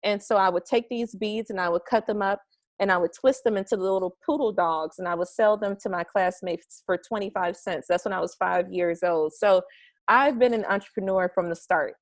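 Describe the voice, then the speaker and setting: An upbeat young woman from the United States answers interview questions at length, trailing into fillers as she thinks. Her voice sits in a middle pitch. The sound is dry and close, without room echo. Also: fairly quick. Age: young adult. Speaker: interview guest, marketing strategist. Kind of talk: casual interview over a call. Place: home garage.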